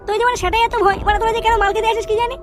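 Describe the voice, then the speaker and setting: A performer voicing a cheeky, foul-mouthed young cartoon villager in a squeaky pitched-up voice, excitable and complaining. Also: very fast. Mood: irritated. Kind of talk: cartoon comedy dialogue.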